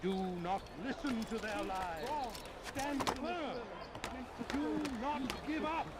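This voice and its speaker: A man's voice: very high-pitched, animated, slow pace, very noisy, exaggerated voice. A man voicing a fervent crusader street preacher, declaiming zealously to rally the faithful in a video game. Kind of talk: public oration in a video game.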